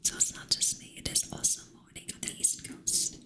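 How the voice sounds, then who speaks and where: A woman whispering softly in a playful way as she chats with her live-stream audience. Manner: soft whisper, playful with chat; slow, drawn-out delivery. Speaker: ASMR streamer.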